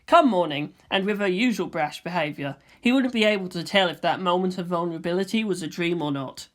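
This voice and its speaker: A woman's voice: on the low side, brisk. A woman narrator reading fan fiction as scripted storytelling.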